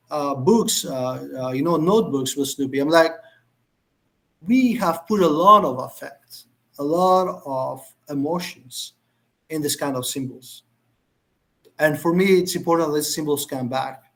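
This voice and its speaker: Guy on a video call, kinda gravelly, slightly high voice, keeps restarting his sentences. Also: a bit bright. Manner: thoughtful, halting, many restarts. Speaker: guest speaker, conceptual artist. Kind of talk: casual lecture Q&A over video call.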